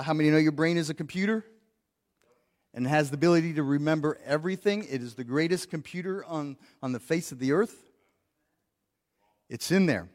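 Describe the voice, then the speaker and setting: A man preaching a practical sermon to his congregation, earnest, often repeating words as he thinks and asking the room questions. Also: a little high. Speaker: pastor. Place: church sanctuary. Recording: long church hall reverb.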